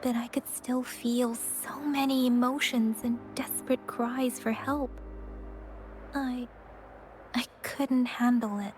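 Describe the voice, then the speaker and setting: An actress voicing a gentle, empathetic young woman in a video game, with a soft, measured, solemn delivery. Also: on the high side, steady tone. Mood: sorrowful, reflective. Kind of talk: video game story dialogue. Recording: music behind the voice.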